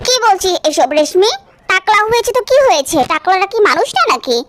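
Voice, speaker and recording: A woman's voice: very high-pitched, sing-song, a little fast, noisy background. A woman voicing a vain, money-minded teenage bride in a cartoon, speaking in a boastful, haughty way. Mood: huffy, defensive. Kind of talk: cartoon voice acting.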